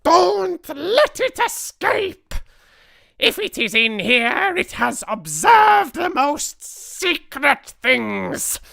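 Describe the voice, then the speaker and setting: A storyteller voicing a tyrannical female head witch, screeching commands in furious, drawn-out words. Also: medium pitch, fairly bright tone, voice pushed up, heavily acted.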